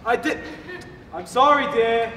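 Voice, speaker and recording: A man's voice: extremely high pitch, lively intonation, measured pace, sharp tone, slight background noise, exaggerated voice. A man playing a meek, henpecked elderly husband, placating and long-suffering, in a stage comedy. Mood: apologetic, placating. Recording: echoey hall, distant mic.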